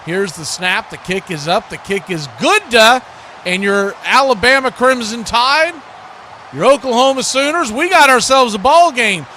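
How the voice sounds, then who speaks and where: High-pitched, bright-voiced American male football announcer. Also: measured pace, a little hiss or hum. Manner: excitable, clipped calls. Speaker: play-by-play announcer. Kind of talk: live American football commentary.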